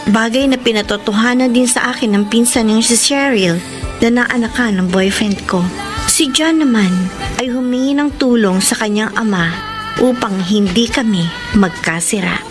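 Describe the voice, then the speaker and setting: A woman playing a gentle, respectful and emotional young girlfriend in a Filipino radio drama. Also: on the high side, normal speed, over music, lightly acted. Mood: sorrowful narration. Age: young adult. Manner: soft-hearted, polite, hesitant, emotional. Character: gentle, respectful young woman torn over her boyfriend.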